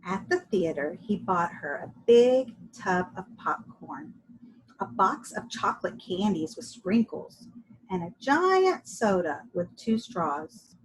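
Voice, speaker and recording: A woman reading a children's story aloud in an expressive, dramatic and deliberate way, with a husky voice. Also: medium pitch, a little hiss or hum, poor audio. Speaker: storybook reader.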